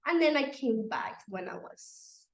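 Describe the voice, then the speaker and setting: A young woman with a Mexican Spanish accent speaks in a fairly high voice, pausing often and filling gaps with "um". Spanish is her first language, so her phrasing is simple. Her voice rings in an echoey room. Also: expressive, slight background noise. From Mexico City. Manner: hesitant, lots of fillers, simple phrasing. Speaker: guest, runner.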